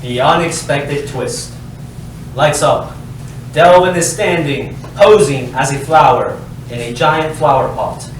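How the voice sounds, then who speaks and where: Guy reading stage directions, slow and deliberate, far from the mic, big echo. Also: fairly high pitch. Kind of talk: scripted narration at a staged reading. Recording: very echoey hall, distant mic, faint noise.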